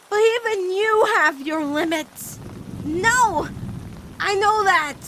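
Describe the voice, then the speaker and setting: Woman voicing an anguished, very high-pitched teenage girl. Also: a bit bright, slight background noise, full character voice, low-quality recording. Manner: dramatic, halting, pleading delivery. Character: anguished teenage girl, guilt-ridden, devoted to girlfriend. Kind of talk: emotional animated-series fan comic dub.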